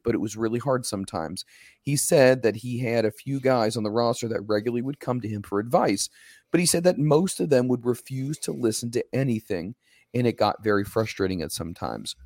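A man co-hosting a casual wrestling podcast, a little hoarse, talking emphatically and ranting at times. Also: mid-range voice, no room sound, studio quality. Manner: emphatic, ranting, animated when quoting others. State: slightly hoarse.

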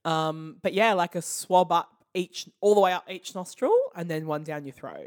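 A woman co-hosting a podcast in casual chat, her voice a little raspy as she gets over an illness. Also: normal speed, a little mellow. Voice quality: low, slightly raspy. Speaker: podcast co-host.

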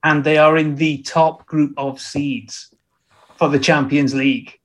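A man's voice: a little high, level tone, unhurried, a bit bright, poor audio. A man co-hosting a casual football podcast, teasing and joking with his co-host and laughing often. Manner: teasing, jokey, laughs a lot.

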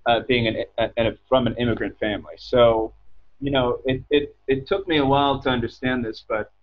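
A man's voice: medium pitch, steady tone, a little fast, highs cut off. An American man in his thirties telling his story casually as an interview guest, hesitant and often correcting himself. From United States. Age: 30s.

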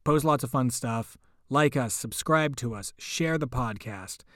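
A man hosts a podcast and wraps up the episode, heaping joking praise on the reader and running through his plugs. His voice is mid-pitched and loose, and it is recorded dry, with no room sound around it. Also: measured pace, a bit bright, dry recording. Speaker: podcast host. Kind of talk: podcast outro with promotions.

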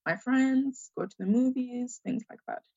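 A young woman with a gravelly, fry-laden voice answers interview questions in a mixed Zambian accent with Australian and American traces. She pauses often on "um" as she thinks. The sound is dry and somewhat dull. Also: sits high, a little mellow, no room sound. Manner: hesitant, many "um" pauses. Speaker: guest.

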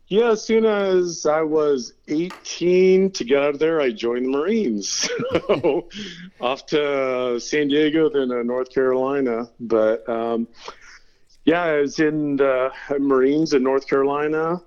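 A man's voice: on the high side, measured pace, darkish tone, clear, resonant tone, lo-fi sound. A middle-aged man from California, a guest telling stories in a laid-back, casual way and laughing between them. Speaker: interview guest. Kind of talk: casual storytelling.

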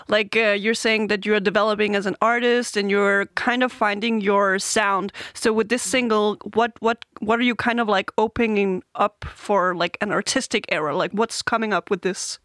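Playful co-host with a dry voice. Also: male voice, high voice, steady tone, moderate pace, hardly any pauses, pushed and bright, no room sound. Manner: playful, teasing. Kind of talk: casual interview.

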